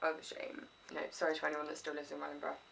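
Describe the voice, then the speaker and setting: A woman's voice: low-pitched, monotone, rapid-fire, vocal fry, a bit bright. A chatty woman vlogging with a stuffy, sniffly head cold, rambling enthusiastically. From England. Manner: rambling, enthusiastic, self-deprecating. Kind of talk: casual chatty haul video. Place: room at home. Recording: voice a little distant from the mic.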